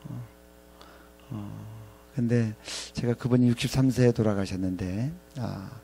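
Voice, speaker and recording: Gravelly, mellow middle-aged male preacher. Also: medium pitch, slight background noise. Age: 50s. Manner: halting, with frequent pauses and repeated phrases. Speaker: professor preaching at a ministry staff chapel. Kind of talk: reflective sermon with scripture readings.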